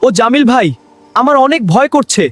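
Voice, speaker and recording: A man's voice: very high voice, lively intonation, moderate pace, fairly bright tone, no echo, voice pushed up. A man voicing a fearful village man in a horror cartoon, anxious and pleading. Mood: frightened. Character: nervous, fearful village man on a night road.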